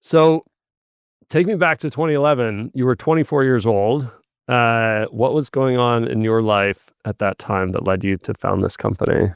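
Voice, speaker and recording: A man hosting a podcast, reading an intro and asking interview questions in an even delivery. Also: medium pitch, moderate pace, clear, resonant tone, dry recording, studio quality. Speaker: podcast host.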